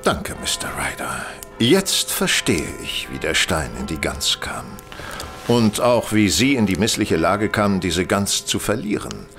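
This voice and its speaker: An actor playing a composed, incisive master detective in an audio drama, methodical, stern and theatrical. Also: male voice, sits low, very animated, measured pace, vocal fry, fairly bright tone, soft background music, heavily acted. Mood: explaining, probing. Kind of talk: German audio drama acting.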